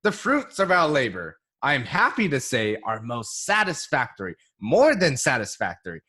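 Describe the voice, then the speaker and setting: A young man playing a jovial, pompous older gentleman and proud father, making grand theatrical announcements in a radio play. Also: mid-range voice, huge pitch swings, normal speed, slightly rough, full character voice. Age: young adult.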